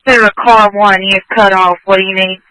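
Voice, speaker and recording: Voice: mid-range voice, little pitch movement, fairly quick, bright, forceful tone, clear, resonant tone, no room sound, poor audio. An emergency dispatcher speaking in clipped, procedural calls over a two-way radio.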